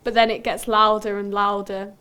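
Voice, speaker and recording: A woman playing a haunted, introspective character who recounts a dream in deliberate, broken phrases, as a poetic dramatic monologue. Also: medium pitch, little pitch movement, bright, forceful tone, slight background noise, natural voice. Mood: uneasy, intense.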